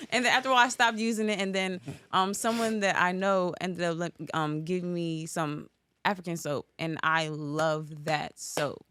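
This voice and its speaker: Bright-voiced, chatty woman hosting a podcast. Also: fairly low pitch, fairly even intonation, normal speed, clear, resonant tone, no echo. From Norwalk, Connecticut, USA. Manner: chatty and rambling, heavy use of "like". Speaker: podcast host. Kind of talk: casual chat.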